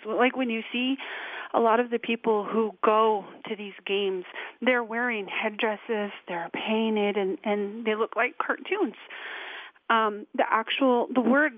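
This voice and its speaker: A woman calling in to a radio show, speaking earnestly and pausing to find her words. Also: fairly high pitch, dry recording. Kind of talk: call-in radio comment. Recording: phone line.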